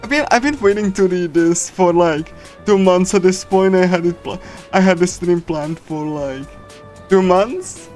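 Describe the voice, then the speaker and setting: Higher-voiced man chatting over game music. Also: darkish tone. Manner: halting, giggly, chatty fan. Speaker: streamer reading a visual novel. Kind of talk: casual livestream chat with loose readthrough. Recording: music playing behind the voice. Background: game soundtrack music.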